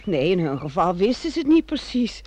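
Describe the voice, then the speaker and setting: An actress playing a sentimental, coy widow in a comic radio play, gushing and sighing theatrically. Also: female voice, mid-range voice, big pitch swings, a little fast, mellow. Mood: wistful. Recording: dull, noisy old radio recording.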